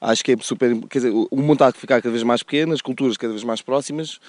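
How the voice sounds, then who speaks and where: A man answering interview questions calmly, hesitant with many fillers. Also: mid-range voice, racing, no room sound. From Portugal. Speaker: guest.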